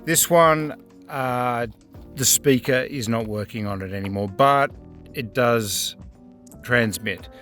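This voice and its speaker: A man's voice: mid-range voice, slow pace, fairly bright tone, faint music behind. A man giving a casual hands-on product review in a plain-spoken, matter-of-fact way.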